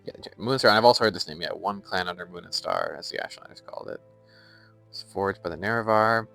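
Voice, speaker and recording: A man's voice: sits low, fairly quick, gravelly, sharp tone, soft background music. A young American man chatting casually on a live stream while playing a game and reading its lore aloud. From United States.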